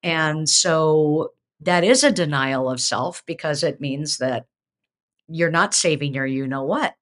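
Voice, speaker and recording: A woman's voice: low voice, takes it slowly. Emphatic middle-aged woman on a video call. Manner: emphatic, animated, many restarts. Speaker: seminary professor, host. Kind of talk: casual theological discussion. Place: home office.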